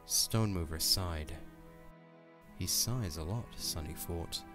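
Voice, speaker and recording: A man's voice: sits low, slow, music in the background. A man narrating a scripted audio drama as its storyteller.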